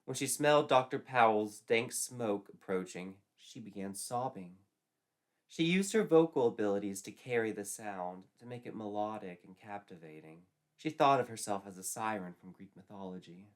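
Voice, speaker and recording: A man's voice: on the low side, expressive, normal speed. A man narrating a scripted comic story in a theatrical, wry, tongue-in-cheek manner.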